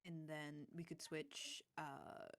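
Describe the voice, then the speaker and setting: A woman's voice: sits low, fairly even intonation, takes it slowly. A woman playing a tabletop roleplaying game, casually talking through tactics with the group.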